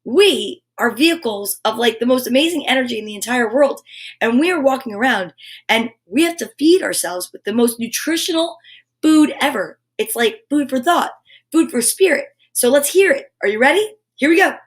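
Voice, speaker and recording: A woman's voice: high voice, animated, moderate pace. A woman hosting a live children's story show, effusive and encouraging, chatting with playful asides and reading aloud.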